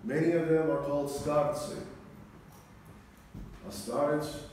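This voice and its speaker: A middle-aged man, an Orthodox priest, preaching a sermon in a solemn, deliberate manner with measured pauses. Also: a little high, darkish tone. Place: large church interior, speaking from the front. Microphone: distant camera microphone. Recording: echoey church, distant mic, faint noise.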